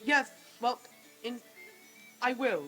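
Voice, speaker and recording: A woman's voice: on the high side, slow, a bit bright, soft background music. A woman playing a shy, earnest young man courting his sweetheart in a radio-play reading, bashful and hesitant. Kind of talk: old-time radio stage reading.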